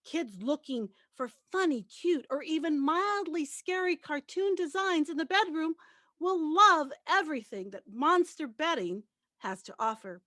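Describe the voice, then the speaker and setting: High-voiced woman reading aloud, dry sound. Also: fairly bright tone, dry recording. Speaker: panelist, radio and TV host. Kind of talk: reading a press release aloud as a dramatic reading.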